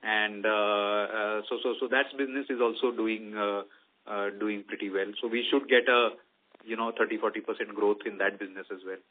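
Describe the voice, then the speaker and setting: A man's voice: on the low side, fairly even intonation, a little hiss or hum. Indian man on a tinny phone line. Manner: hesitant, halting, with frequent "you know" and "let's say". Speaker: company executive answering analysts' questions. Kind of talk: earnings call answers, explanatory. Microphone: telephone conference call.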